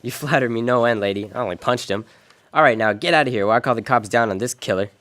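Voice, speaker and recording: An actor playing a hard-boiled, wisecracking private detective in a noir radio drama, terse and wry. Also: male voice, mid-range voice, quick pace, fairly bright tone, lightly acted. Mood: tense, defiant. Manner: wry, terse, tough-talking. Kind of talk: noir radio drama acting and first-person narration.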